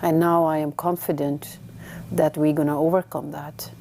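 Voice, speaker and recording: A woman's voice: low-pitched, level tone, normal speed, warm tone, slight background noise. A woman born in Afghanistan, a humanitarian guest answering interview questions in a confident, upbeat way. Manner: confident, upbeat, laughs readily.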